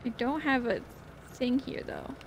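A woman's voice: high voice, steady tone, a little slow, a little hiss or hum, poor audio. A woman giving casual gameplay commentary on a horror game, playfully thinking aloud as she goes. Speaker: gaming video host playing a horror game.

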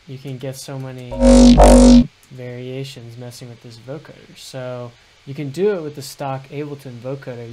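A man's voice: darkish tone, rough recording. A young man, a music producer, giving a laid-back, casual screen-share tutorial. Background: synth bass sounds.

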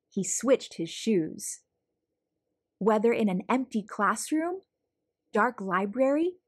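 A woman reading a children's story aloud with expressive, deliberate phrasing. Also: fairly high pitch, breathy edge. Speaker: storybook reader, host. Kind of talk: children's read-aloud narration.

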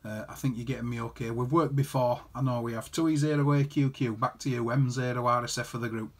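A man with a husky edge to his voice talks as an amateur radio operator. He keeps it casual, working callsigns and numbers into the chat at an ordinary mid pitch. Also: steady tone, quick pace. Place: indoor room beside a desktop transceiver.

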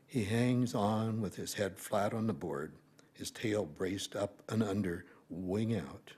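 A man in his seventies with a husky voice, reading a poem aloud at a podium microphone. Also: fairly low pitch, slow, darkish tone. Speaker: amateur reader in a reading-aloud group. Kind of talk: poetry recitation. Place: library meeting room, indoors.

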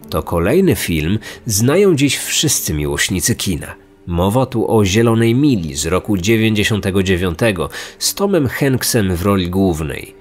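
A man delivering serious, measured scripted true-crime narration as a podcast host. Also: lowish voice. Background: music.

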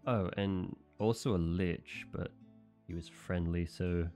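Man voicing a loyal dim young knight, earnest, puzzled, mellow warm tone, soft music behind. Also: deep voice, steady tone, slow pace. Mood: cheerful. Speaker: voice actor. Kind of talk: audio roleplay monologue.

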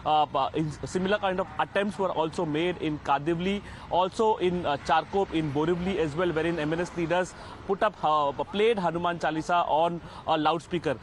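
A man with an Indian accent giving a live field news report, halting and restarting his phrases. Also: a little high, fairly even intonation. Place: outdoors on a city street. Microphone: handheld reporter microphone. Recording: outdoor live link with street noise.

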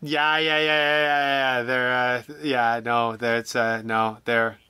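A man's voice: medium pitch, flat delivery, slow, sharp tone, smooth, no room sound. A man giving a casual interview as a guest, speaking hesitantly with a wry, self-deprecating manner. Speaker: interview guest, audio drama writer.

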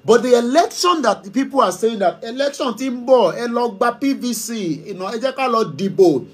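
Nigerian male radio host, forties, fairly high-pitched, pushes each question hard. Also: expressive, a little fast. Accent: Nigerian, Yoruba. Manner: emphatic, questioning, switches into Yoruba. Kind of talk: call-in radio talk, reading comments. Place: studio room before green screen.

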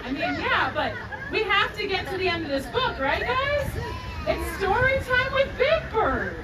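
A young woman hosting a live children's story time, projecting to a crowd and coaxing them along in an animated way. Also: very high-pitched, a little slow. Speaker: theme park story time host. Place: outdoor theme park stage. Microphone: audience phone camera from several meters. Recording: distant phone recording, noisy outdoor crowd, echoey.

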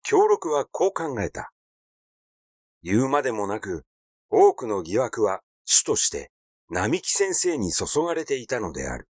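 Guy reading an old mystery novel, animated intonation, very dry recording. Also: medium pitch, unhurried, no echo. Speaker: audiobook narrator. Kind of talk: literary narration of a mystery story.

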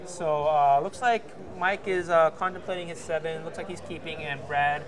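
A man calling a card game tournament with a slightly high voice and an easygoing, conversational manner. He throws questions to his co-host as he goes. A lively crowd chatters clearly behind him. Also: moderate pace. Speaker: tournament commentator. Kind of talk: casual play-by-play commentary. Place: card shop event.

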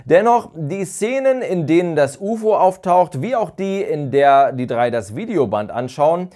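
Expressive man with a slightly high voice. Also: measured pace. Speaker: YouTube reviewer of audio dramas. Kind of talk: semi-scripted review narration. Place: small furnished living room or den indoors.

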